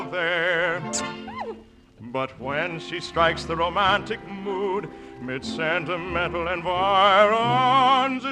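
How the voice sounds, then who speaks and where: A man's voice: full character voice, rough recording. A male host playing a romantic young crown prince who talks and sings suavely in a 1940s radio musical. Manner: suave, crooning baritone singing. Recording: old radio broadcast with orchestra.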